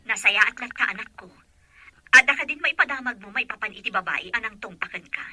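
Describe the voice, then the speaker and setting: A woman's voice: fairly high pitch, expressive, fairly quick, pushed and bright, dry recording, exaggerated voice. A woman with a Filipino accent acting a caring, determined mother in an audio drama.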